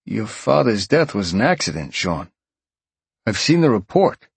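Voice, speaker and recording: Man playing raised-pitch, paternal police detective. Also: big pitch swings, measured pace, creaky, breathy edge, no room sound, heavily acted. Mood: stern. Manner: matter-of-fact, official. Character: male police detective, concerned, matter-of-fact, paternal.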